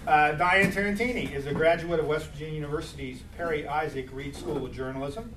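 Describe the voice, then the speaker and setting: A man's voice: on the high side, brisk. A man acting as host, giving an informal live introduction of a reader. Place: indoor live reading event. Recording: echoey room, distant mic, clear background noise.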